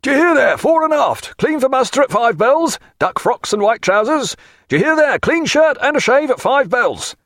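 A man voicing a sailor who cries orders through the ship in a loud, drawn-out call, in an audiobook. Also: very high-pitched, sing-song, dry recording, studio quality, pitched above the performer's own voice, heavily acted. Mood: bawling.

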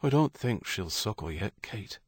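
A man voicing a young Irish husband in an audiobook novel, wary and skeptical yet tender, in a low-key, hesitant way. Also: big pitch swings, moderate pace, breathy edge, no room sound.

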